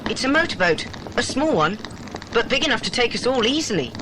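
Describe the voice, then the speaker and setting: A woman's voice: fairly high pitch, big pitch swings, fairly quick, very noisy. A woman playing a sensible, plucky British boy adventurer, earnest and reasoning, in a children's audio drama. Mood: excited, reasoning.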